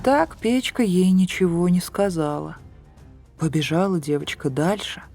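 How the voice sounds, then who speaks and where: Slow, hushed woman telling a fairy tale. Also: on the low side. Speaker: audiobook narrator. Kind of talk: scripted fairy-tale narration. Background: soft background music.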